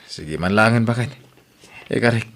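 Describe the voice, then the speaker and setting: A man's voice: on the low side, normal speed, fairly bright tone. A man playing a weary, defensive young husband in an audio drama. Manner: defensive, tired.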